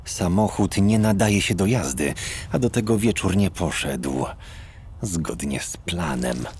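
A ruthless cat gangster from a cartoon, acted by a man. He speaks slowly with a creaky, low voice and stays deadpan and formally polite, over faint background noise. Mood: cold, restrained. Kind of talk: animated cartoon dub acting.

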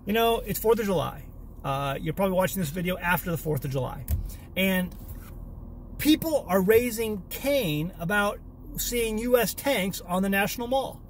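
Emphatic bearded homesteader vlogging from a car. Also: male voice, high-pitched, expressive, moderate pace, slight background noise. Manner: emphatic, repeating words for emphasis. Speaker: homesteading channel host. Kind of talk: casual selfie vlog rant. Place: parked car, indoors. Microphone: phone or camera at arm's length.

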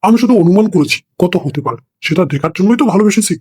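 A man playing a lecherous, foul-mouthed older father in a comic cartoon, talking in a crude, hurried way. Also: high-pitched, lively intonation, a little mellow, breathy edge. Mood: coaxing. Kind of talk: comic cartoon dialogue in colloquial Bengali. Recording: music behind the voice.

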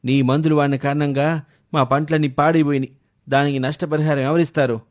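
A man's voice: fairly high pitch, level tone, a little fast, natural voice. A man playing an angry village farmer, accusing and aggrieved, in a moral story. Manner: accusing, indignant.

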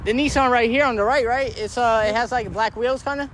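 A man's voice: very high voice, fairly quick. A young man hosting a prank vlog in casual, slangy chat, recorded on handheld camera audio. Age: young adult. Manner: slangy, informal. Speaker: prank vlogger and host. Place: big store and outdoor parking lot. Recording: noisy handheld camera audio in store and parking lot.

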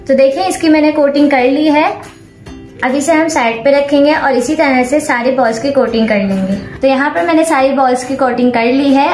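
A woman hosting a cooking channel, calmly talking viewers through a recipe step by step, with English cooking terms mixed into her speech. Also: sits high. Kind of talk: recipe tutorial voice-over. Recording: echoey room with soft background music.

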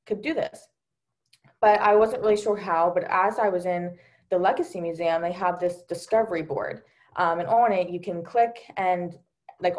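Young woman panelist, slightly low voice, sincere storytelling over a video call. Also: darkish tone. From Delaware, USA. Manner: earnest, many fillers like um and you know. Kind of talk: personal account on a virtual panel.

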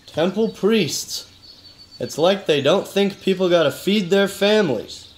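A man's voice: high-pitched, lively intonation, a little slow. A man playing a weary, skeptical hardboiled detective with colloquial talk, in a noir-parody radio drama. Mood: softening, puzzled. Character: exasperated, hardboiled male detective questioning his cousin.

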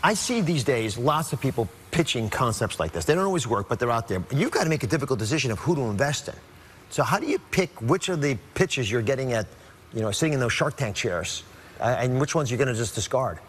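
A middle-aged man hosting a studio talk show, with an energetic, showy announcer delivery, speaking into a lapel mic. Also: medium pitch, quick pace, a little hiss or hum. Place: TV studio set.

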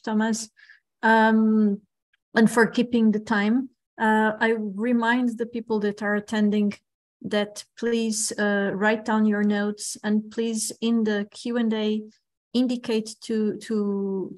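A woman chairing an online academic session, introducing the next speaker in a halting way over a video call. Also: medium pitch. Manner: halting, with fillers. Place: indoors at home.

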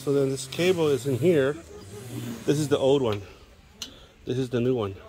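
A man giving a casual hands-on how-to, talking plainly in short, halting steps as he works. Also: medium pitch, dark tone, a little hiss or hum, poor audio. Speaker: DIY radio hobbyist demonstrator. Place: in and beside a parked vehicle outdoors. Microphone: handheld phone, one-handed filming.

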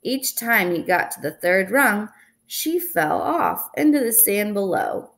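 Young woman reading a storybook, unhurried. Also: medium pitch, lively intonation, fairly bright tone. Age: young adult. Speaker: reader of a children's picture book. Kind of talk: storybook read-aloud. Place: indoors in a small room at home.

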